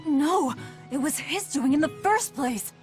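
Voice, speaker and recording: A woman's voice: high-pitched, lively intonation, measured pace. A woman voicing a brooding, haunted young warrior in a dramatic anime-style game. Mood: anguished. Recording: music clearly behind the voice.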